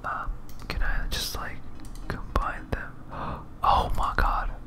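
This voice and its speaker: Hushed whispering ASMR gamer. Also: slow pace. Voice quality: breathy unvoiced whisper. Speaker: video game streamer. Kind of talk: ASMR whispered game let's play commentary.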